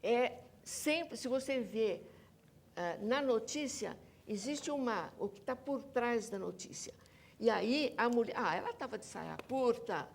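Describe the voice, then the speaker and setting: A woman's voice: on the high side, expressive, unhurried, gravelly. A Brazilian woman speaking emphatically as an academic guest in a panel discussion, insisting on her points and repeating them. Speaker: academic guest, researcher and author.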